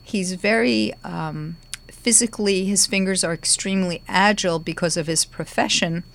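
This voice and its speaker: A middle-aged woman talks into a studio microphone as host of her guitar podcast. Her voice is gravelly and sits a little low for a woman. She speaks in a chatty way, often pausing on ums. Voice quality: gravelly today. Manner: chatty, warm-hearted, with frequent ums. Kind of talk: casual podcast talk.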